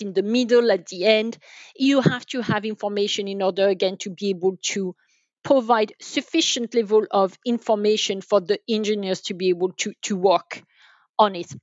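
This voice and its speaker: A woman's voice: mid-range voice, dry recording. Woman guest, slightly muffled dry sound. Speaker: guest, enterprise sales manager. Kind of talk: interview talk, explaining from experience.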